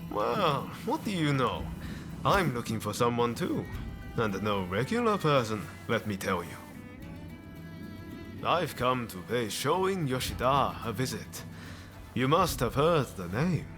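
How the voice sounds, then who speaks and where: A roguish young samurai in a game cutscene. He is friendly and teasing, with a swooping, expressive delivery that takes its time. Music plays clearly behind him. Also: mid-range voice, takes it slowly. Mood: friendly, enthusiastic. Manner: breezy, jaunty, teasing. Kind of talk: video game cutscene acting.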